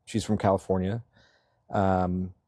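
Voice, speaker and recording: A man's voice: low-pitched, little pitch movement, measured pace, mellow, dry recording, clean studio sound. A man in his thirties from Massachusetts, talking casually as an interview guest, rambling with fillers and frequent chuckles. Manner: rambling, self-deprecating, laughs often, many fillers. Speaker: selectboard candidate, guest.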